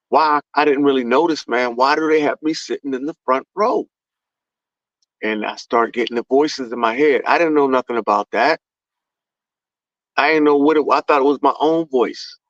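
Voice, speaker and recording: A man's voice: medium pitch, no room sound, muffled recording. A man talking with a fervent, preaching cadence in an impassioned conversation.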